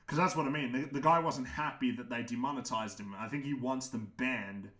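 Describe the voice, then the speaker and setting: Young man, deep voice, casual livestream ramble, blunt opinions, echoey room. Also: a little fast, fairly bright tone. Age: young adult. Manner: opinionated, frank, swears, frequent restarts; laughs often. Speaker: livestream host answering chat.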